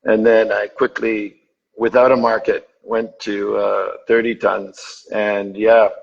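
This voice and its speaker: Gravelly, husky man raised in Zambia, halting with lots of "uh", dull lo-fi call audio. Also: lowish voice, little pitch movement. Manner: halting, many "uh"s, dry humor. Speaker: honey supplier guest. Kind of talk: casual online panel chat.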